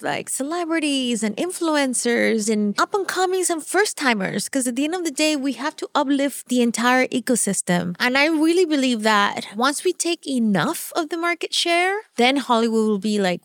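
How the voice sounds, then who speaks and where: A woman from Venezuela whose first language is Spanish, speaking as a company CEO in an interview, energetic and quick to laugh. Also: no echo, studio quality. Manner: energetic, laughs often, quick enthusiastic pitch. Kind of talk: podcast interview.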